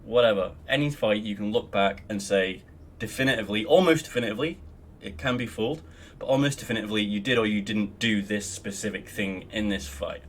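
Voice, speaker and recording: Low-voiced Australian man, rambling gamer tutorial. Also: fairly quick, slight background noise. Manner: rambling and offhand, frequent ums, casual swearing. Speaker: guild member teaching raid logs. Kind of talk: casual screen-recorded tutorial.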